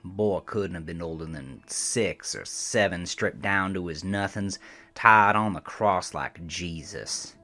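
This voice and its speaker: A man's voice: low-pitched, takes it slowly, sharp tone, heavily acted. A man playing a cranky, aging rural American trucker, telling a first-person horror story in a folksy, profane, rambling way. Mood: uneasy. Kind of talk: first-person horror audio drama narration.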